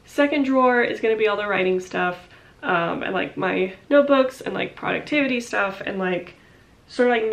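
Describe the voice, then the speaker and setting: A young woman in her twenties chatting casually to camera in her home office, congested and a little short of breath, rambling with lots of fillers. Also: medium pitch. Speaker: vlogger. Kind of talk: casual vlog chat. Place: small home office, indoors.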